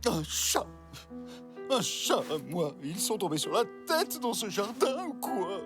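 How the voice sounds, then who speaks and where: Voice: mid-range voice, big pitch swings, measured pace, music in the background. An actor voicing a pompous, grumpy dog in a French cartoon, haughty and blustering. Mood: shocked. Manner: haughty, blustering, stammers when flustered. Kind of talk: French cartoon dub acting.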